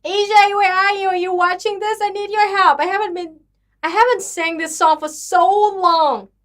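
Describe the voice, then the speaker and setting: A young woman with a Vietnamese accent and a high voice, chatting on her livestream. She sounds tired and talks in a chatty, apologetic, self-deprecating way, reading messages and answering viewers. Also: steady tone, normal speed, fairly bright tone. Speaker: livestream host. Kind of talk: casual livestream chat with singing.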